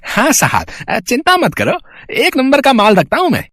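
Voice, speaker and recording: Man acting a high-pitched blustering crooked shopkeeper. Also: huge pitch swings, moderate pace, fairly bright tone, slight background noise, exaggerated voice. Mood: ingratiating. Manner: blustering, threatening. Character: shifty, bullying male sweet-shop owner and drunkard husband. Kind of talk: Hindi moral-story cartoon acting. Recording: music bed behind the voice.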